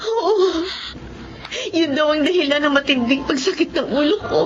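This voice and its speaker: A woman's voice: high-pitched, animated, moderate pace, background noise. An actress playing a distraught, selfless young working woman and eldest sister in a Filipino melodrama, pleading and emotional. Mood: tearful. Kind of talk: Filipino radio-style melodrama acting. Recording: muffled lo-fi audio, loud music underneath.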